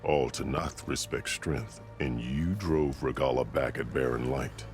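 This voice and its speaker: Very deep-voiced, solemn warrior chief. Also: male voice, steady tone. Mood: authoritative. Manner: formal, solemn, measured storytelling. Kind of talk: dramatic video game dialogue. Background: music.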